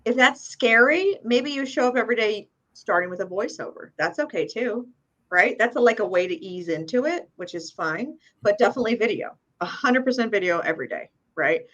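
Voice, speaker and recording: A woman's voice: a little high, animated, fairly bright tone, lo-fi sound. Upbeat woman giving advice in casual chat. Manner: upbeat, talks in quick asides with frequent "right?". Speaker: real estate agent guest.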